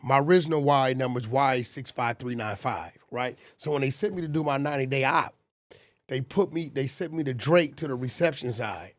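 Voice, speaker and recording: A man in his forties telling street stories in casual, animated talk on a podcast. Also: medium pitch, brisk. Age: 40s. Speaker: podcast guest. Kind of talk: casual storytelling.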